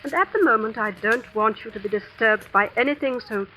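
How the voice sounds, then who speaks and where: An actress playing a cold, domineering schoolmistress and sham clairvoyant in an old radio suspense drama, speaking with imperious, theatrical precision. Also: female voice, fairly high pitch, brisk, pushed and bright, a little hiss or hum, rough recording. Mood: cold, commanding. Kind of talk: 1940s-50s radio suspense acting. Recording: vintage radio recording with music.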